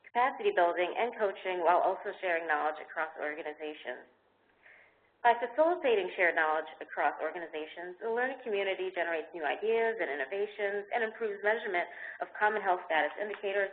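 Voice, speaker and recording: A woman presenting as a program manager, giving a prepared talk with informal asides over a roomy, tinny conference line. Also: fairly low pitch. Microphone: telephone conference call.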